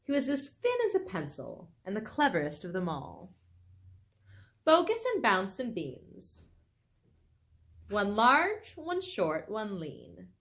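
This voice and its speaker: A woman reading a children's book aloud expressively, with animated, dramatic phrasing and casual asides. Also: medium pitch, takes it slowly, pauses often. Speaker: storybook reader.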